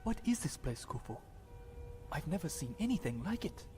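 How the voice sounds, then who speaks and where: A man's voice: on the high side, very animated, normal speed, warm tone, faint music behind. A man acting a playful young Egyptian companion to a prince in an audio drama. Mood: awed.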